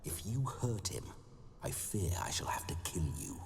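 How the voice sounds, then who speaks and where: A man's voice: deep voice, very animated, moderate pace, gravelly, darkish tone, a little hiss or hum, full character voice. An actor playing the jovial, boastful ghost of a hard-drinking nobleman brawler in a video game. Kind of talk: video game cutscene acting. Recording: game audio with reverb and music.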